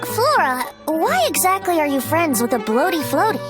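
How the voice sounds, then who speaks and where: A woman's voice: very high-pitched, sing-song, normal speed. A woman voicing a playful, teasing young male bard in a video game, light and boyish with a breezy theatrical manner. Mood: curious. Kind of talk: video game character acting. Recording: music behind the voice.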